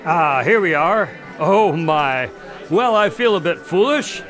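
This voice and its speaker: High-voiced, genial gentleman doctor. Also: animated, slow, faint music behind. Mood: welcoming, flustered. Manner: polite, fussy, apologetic. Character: genial, courteous gentleman doctor hosting a wine party. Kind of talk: radio drama acting.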